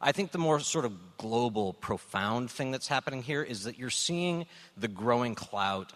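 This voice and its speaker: A man speaking as a panelist in a measured, analytical way during a political discussion at a live show. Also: medium pitch, expressive, fairly bright tone. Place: indoor stage before a live audience. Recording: echoey hall, live stage.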